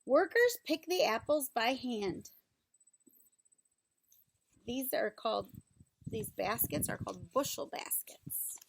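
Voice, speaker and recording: A woman reads aloud to young children in a high voice with a noticeable creak. She takes her time, moving between the book's text and simple, instructional asides and questions. There is faint noise behind her. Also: sing-song, poor audio. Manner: simple, instructional, pausing to explain and ask questions. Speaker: teacher reading a picture book aloud to young children.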